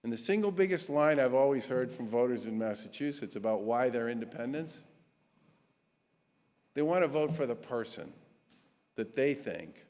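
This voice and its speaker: A man's voice: medium pitch, darkish tone. Man in his 60s speaking in a reverberant church. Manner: earnest, emphatic speaking with measured pauses. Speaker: state governor introducing an award honoree. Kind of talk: formal introduction speech at a gala. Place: large historic church. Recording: long reverb of a large church hall.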